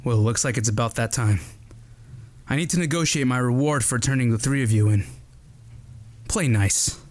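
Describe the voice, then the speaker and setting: Smug male mercenary captor, taunting and mocking, played by a man; mid-pitched, audio drama. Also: moderate pace, slight background noise, lightly acted.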